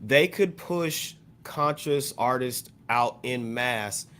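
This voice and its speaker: An American man taking part in a casual podcast panel, talking in a measured, agreeable way. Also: mid-range voice, a bit bright.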